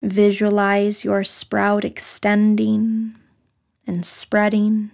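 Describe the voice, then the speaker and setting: A woman leading a guided meditation in a soft, breathy, soothing voice, speaking short phrases with long pauses. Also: mid-range voice, monotone. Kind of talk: guided meditation, scripted narration.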